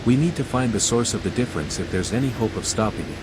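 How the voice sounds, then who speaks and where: An actor playing a dry-witted, restrained envoy, the hero of a video game, in measured dialogue. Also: male voice, on the low side, animated, noisy background, close to an everyday voice. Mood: earnest.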